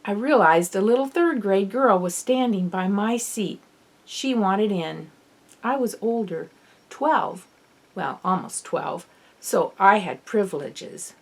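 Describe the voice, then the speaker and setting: A woman reads a first-person children's story as its author. Her voice sits at a medium pitch. The delivery is that of an audiobook narrator, expressive and dramatic in the telling. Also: slow pace, vocal fry. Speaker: author reading own novel.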